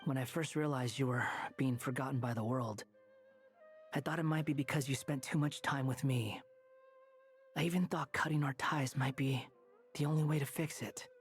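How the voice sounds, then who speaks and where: Casting: aloof, clever young male game character played by a man, mid-pitched, smug, dry sarcasm, music underneath. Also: fairly even intonation, normal speed, lightly acted. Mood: guarded, reflective. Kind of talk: video game voice acting.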